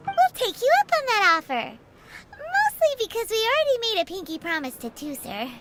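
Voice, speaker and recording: A woman's voice: very high voice, huge pitch swings, normal speed, heavy background noise, full character voice. A woman voicing a tiny floating female companion who is bossy and cheeky, talking in a chirpy, teasing way in a game. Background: game music.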